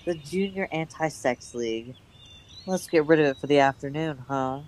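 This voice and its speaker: Actress as a daring young woman, brisk, commanding, teasing, secretive, radio drama. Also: low-pitched, a little mellow, slight background noise, natural voice. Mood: teasing, intimate. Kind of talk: radio play acting.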